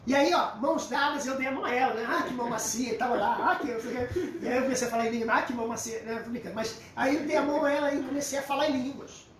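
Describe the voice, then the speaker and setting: A man's voice: very high-pitched, fairly even intonation, rapid-fire, fairly bright tone. A middle-aged Brazilian man with a Carioca accent teaching the Bible informally, emphatic and repetitive like a storyteller. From Rio de Janeiro, Brazil. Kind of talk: informal Bible lesson with personal anecdotes. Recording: roomy echo, distant mic, faint noise.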